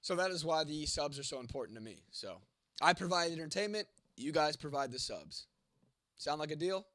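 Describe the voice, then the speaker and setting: A man's voice: fairly high pitch, lively intonation, a little fast, bright, forceful tone, dry recording. A young man hosting a casual livestream and reading out viewer comments. He is congested and losing his voice, with a cough, and talks in a laid-back way with casual swearing. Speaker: livestream host.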